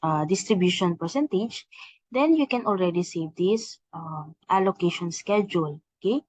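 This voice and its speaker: A woman explaining software step by step as an instructional trainer, hesitating often as she goes. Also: lowish voice, expressive, moderate pace, no room sound. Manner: instructional, with frequent hesitations and repeated "okay" and "please take note". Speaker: software trainer presenting a tutorial. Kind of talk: step-by-step software demonstration, semi-scripted explanation. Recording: slightly dull, lo-fi screen-recording audio.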